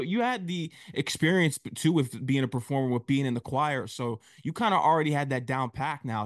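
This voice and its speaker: A man's voice: medium pitch, dry recording, studio quality. A man interviewing a guest casually as a show host, in an encouraging, affirming way.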